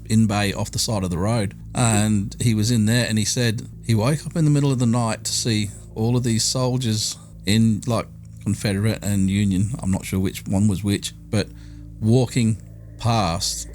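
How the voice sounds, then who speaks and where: A man's voice: on the low side, darkish tone. A man hosting a podcast, telling a ghost story in a rambling, chatty way full of asides. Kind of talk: casual podcast chat. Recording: faint background noise.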